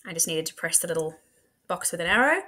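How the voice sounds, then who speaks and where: A woman's voice: on the low side, expressive, fast talker, pushed and bright. A woman, a teacher, giving a chatty instructional screen demo, talking through each step aloud. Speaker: teacher presenting a software tutorial. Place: indoor room at a computer.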